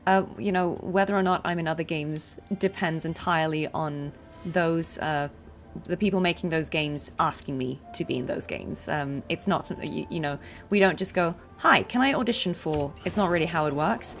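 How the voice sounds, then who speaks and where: A woman's voice: low voice, normal speed, few pauses, faint music behind. A young woman, a voice actor, chatting casually while streaming a game, thinking aloud with lots of fillers.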